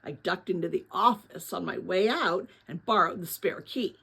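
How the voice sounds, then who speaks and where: A woman voicing an excited, impatient boy on an adventure in a storybook read-aloud, talking in an urgent rush. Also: fairly low pitch, normal speed, vocal fry, husky, voice pushed down, exaggerated voice. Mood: eager, impatient.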